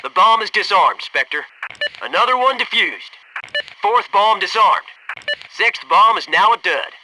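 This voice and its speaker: A man's voice: high voice, animated, a little slow, background noise. A man voicing a brash, folksy special-forces soldier in a military shooter game, sarcastic and punchy in his delivery. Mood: wry, focused. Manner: punchy, sarcastic wisecracks and urgent squad callouts.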